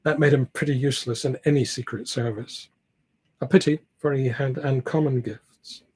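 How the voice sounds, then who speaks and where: A man reading aloud the part of a genial, shrewd upper-class government gentleman from a classic novel. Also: mid-range voice, steady tone, moderate pace, a little hiss or hum, close to an everyday voice. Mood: frank, opinionated.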